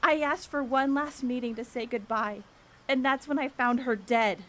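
A woman's voice: sits high, normal speed, a bit bright. A woman playing a determined young woman seeking the truth in ancient Greece, speaking boldly and earnestly in an audio drama. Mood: passionate, challenging. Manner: bold, earnest, declamatory. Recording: faint background noise.

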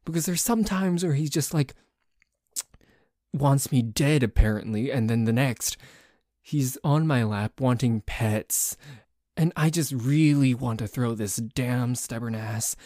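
A man playing a dorky, jealous tsundere boyfriend in an ASMR roleplay, bickering haltingly with a cocky streak. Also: mid-range voice, dark tone, dry recording, studio quality. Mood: irritated, combative. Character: dorky, jealous, grumbling tsundere boyfriend who dislikes a cat.

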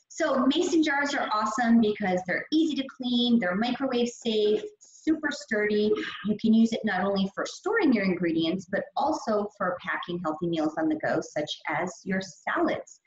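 A young adult woman fitness trainer giving an upbeat, chatty meal prep talk over slides on a video call. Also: medium pitch. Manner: upbeat, chatty, many fillers. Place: gym or studio room. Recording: echoey, dull lo-fi audio with faint hiss.

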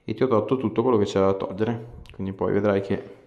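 A man, a chiropractor giving a patient short, gentle, repeated instructions. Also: on the low side, fairly even intonation, fast, highs cut off. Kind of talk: instructing a patient during treatment. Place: small indoor treatment room. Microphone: camera a few steps away. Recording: roomy echo, camera across small room.

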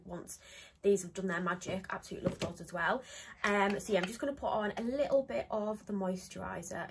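A woman's voice: medium pitch, slight background noise. A young woman in her twenties, congested with a cold, chatting to a close phone camera in a small home office, rambling with lots of ums. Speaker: beauty vlogger. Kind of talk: casual chat to camera.

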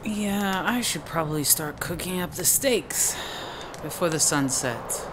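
An actress playing a wary, guarded, tough courier in a radio drama, with a dry, sardonic way of talking. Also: female voice, on the low side, expressive, unhurried, background noise.

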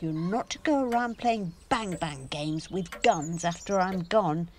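A woman's voice: fairly low pitch, measured pace, darkish tone, slight background noise, close to an everyday voice. An actress playing a vain, dramatic, self-pitying mother who is a stage actress, in a theatrical, emphatic audio drama style. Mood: tender, fussing.

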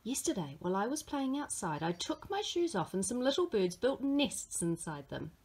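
A woman's voice: on the high side, very animated, moderate pace, a little mellow, husky, exaggerated voice. A woman voicing a small, earnest, imaginative girl who tells tall tales in a dramatic storybook manner.

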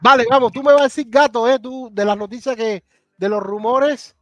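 A middle-aged Cuban man hosts a relaxed live stream from home, calling his guest brother and thanking viewers. His voice sits quite high. He talks animatedly into a webcam. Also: fairly quick. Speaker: host. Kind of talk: casual live stream chat.

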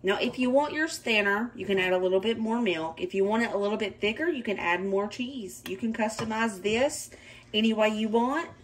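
A hoarse woman with a Southern US accent, chatting casually in her home kitchen as she walks through a recipe step by step. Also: medium pitch, a little fast, a little hiss or hum. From Southern United States. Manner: chatty and relaxed, gives step-by-step directions. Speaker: home cooking vlogger.

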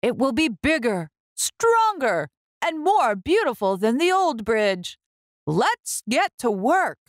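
An upbeat town mayor from a children's storybook, acted out by a woman reader. The delivery is earnest and proclaiming, slow and very animated in pitch. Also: dry recording, clean studio sound. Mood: rousing.

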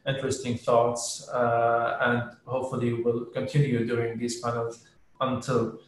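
A man's voice: medium pitch, completely flat delivery, unhurried. A middle-aged man moderating a panel on a video call, polite and formal. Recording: very echoey room on a video call.

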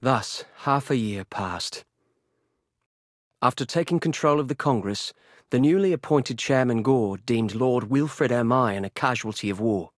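An actor playing a solemn chronicler of war, narrating a video game story in a measured, grave and husky voice. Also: male voice, on the low side, no echo, clean studio sound. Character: solemn male chronicler recounting a war's aftermath.

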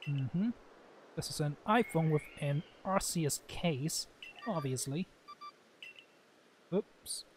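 A man's voice: a little high, slow, pauses often, slight background noise. A man giving casual, rambling and blunt commentary as he hosts a gameplay video. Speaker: gaming video host playing and commenting.

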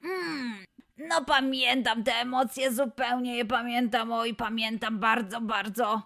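Woman putting on an excitable, high-voiced little boy. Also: takes it slowly. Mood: pondering. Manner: childlike, rambling, repetitive. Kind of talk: playful children's audio show.

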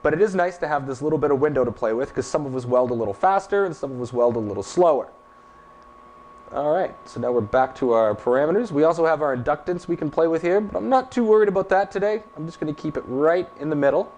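A man in his thirties giving a casual demonstration of a welding machine in a workshop, talking in a relaxed, plain-spoken way with fillers. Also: fairly high pitch, fast, slight background noise.

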